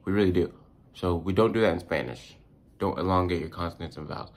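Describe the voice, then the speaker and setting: A man's voice: low-pitched, fast talker. A man who is a native English speaker hosts a casual language lesson, talking informally with slang and repeating words to demonstrate their sounds. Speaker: host of a language tips video. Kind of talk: casual vlog-style pronunciation lesson.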